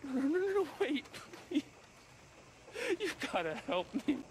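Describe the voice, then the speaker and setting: A man's voice: very high-pitched, sing-song, measured pace, a little hiss or hum, heavily acted, rough recording. An actor playing a young man held captive in a sailor suit, begging frantically to be freed. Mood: desperate. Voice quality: frantic, pleading.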